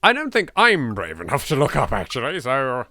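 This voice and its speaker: A man's voice: medium pitch, huge pitch swings, moderate pace, sharp tone. A man with an English accent doing let's play commentary, reading game text dramatically and adding sweary, jittery, sardonic asides. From England. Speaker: video game let's player.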